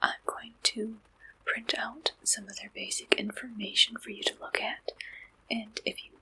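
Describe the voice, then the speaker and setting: A woman doing a gentle, polite ASMR roleplay as a friendly, helpful service clerk, speaking in soft whispers. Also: fairly high pitch, level tone, normal speed, husky, poor audio. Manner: gentle, polite, attentive customer-service way of talking. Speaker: ASMR performer playing a dating service receptionist.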